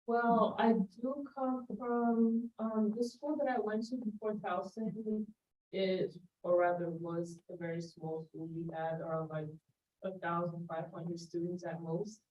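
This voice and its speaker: A young woman student candidate, soft-spoken and hesitant, with many "um"s. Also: fairly low pitch, steady tone, unhurried, recorded from a distance, muffled recording. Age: young adult.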